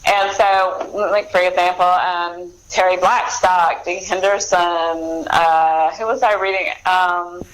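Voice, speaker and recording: A woman's voice: on the low side, steady tone, rough recording. A middle-aged woman speaking as an author guest in a casual interview, chatty, often doubling back and correcting herself. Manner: chatty, halting and self-correcting.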